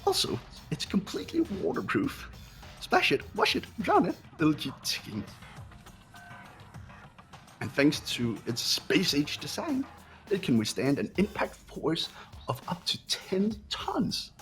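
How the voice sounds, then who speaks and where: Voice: mid-range voice, wildly swooping pitch, measured pace, fairly bright tone, faint music behind. A performer voicing a gleefully sadistic robot teddy-bear headmaster in a fan game dub, theatrical and mock-polite. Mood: chirpy salesmanship. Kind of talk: fan voice acting of a video game.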